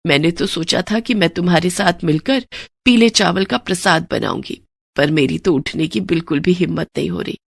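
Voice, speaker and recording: A woman's voice: fairly low pitch, lively intonation, moderate pace, creaky, breathy edge, dry recording, exaggerated voice. Woman as kindly mistress of the house, dry. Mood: unwell, apologetic. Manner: polite, instructing. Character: kindly, well-off mistress instructing her servant.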